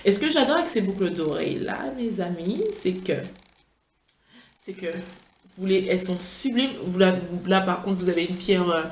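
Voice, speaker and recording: A woman's voice: on the low side, expressive, normal speed. Chatty, tired woman in an echoey room. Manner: chatty, playful, gushing with enthusiasm. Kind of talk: casual product-review vlog.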